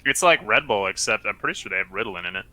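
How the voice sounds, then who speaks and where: Male player, low voice, sharp edge, animated playful teasing. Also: racing, no echo. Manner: loud, playful trash talk. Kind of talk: casual chat before a tabletop game.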